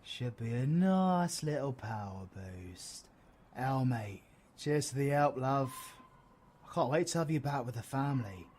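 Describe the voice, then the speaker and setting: A man's voice: mid-range voice, animated, measured pace, slight background noise, lightly acted. A British man playing a cheeky, flamboyant supervillain in an audio roleplay, with a slangy, theatrical manner. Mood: playful, triumphant. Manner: theatrical, slangy, swinging from soft to shouting. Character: cheeky, flamboyant young male supervillain, affectionate and chaotic.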